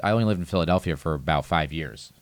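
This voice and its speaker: Low-voiced man, relaxed and rambling. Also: fast, no room sound. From United States. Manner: relaxed, laughs often, rambling. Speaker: guest. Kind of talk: casual chat.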